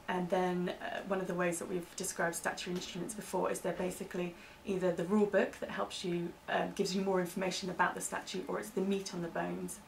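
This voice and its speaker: Low-pitched British woman, gravelly, explaining informally. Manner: hedging, informal explanations with "kind of". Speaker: interviewee explaining legal terms for staff training. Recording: distant mic, faint background noise.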